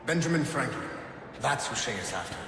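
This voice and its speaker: An actor playing a solemn, controlled man steering a mission in a video game, measured and formal, over music. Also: mid-range voice, big pitch swings, sharp tone, a little hiss or hum. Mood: focused, stern. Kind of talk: dramatic video game dialogue.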